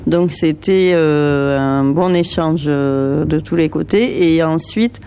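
A woman coordinating a community cooking project, explaining it slowly with many filler pauses. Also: low-pitched, dark tone, smooth. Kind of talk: interview in a kitchen.

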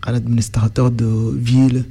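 A man's voice: mid-range voice, flat delivery, normal speed, vocal fry, warm tone, slight background noise. A young man from Sudan, a native Arabic speaker, answering interview questions in French with frequent restarts as he searches for words.

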